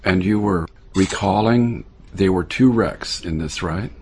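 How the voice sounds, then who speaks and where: Low gravelly guy asking short questions, bit of faint noise behind. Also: a little slow, darkish tone. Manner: brief, plain questions. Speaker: interviewer. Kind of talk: interview questions.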